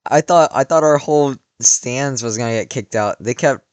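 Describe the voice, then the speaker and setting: A young man in his late teens rambles through a story, leaning on "like" and restarting his sentences. His voice sounds slightly worn, and his pitch sits at an average level. The recording is dry and close, with no room sound. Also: moderate pace, no room sound. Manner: rambling, many "like" fillers and restarts. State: voice worn from cheering. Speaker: vlogger. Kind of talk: casual storytelling.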